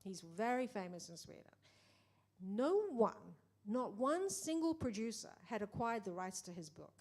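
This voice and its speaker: Woman, middle-aged, producer, animated storyteller, restarts often. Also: mid-range voice, moderate pace. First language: English. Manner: animated, acts out quoted dialogue, many restarts. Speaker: film producer. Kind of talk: panel conversation.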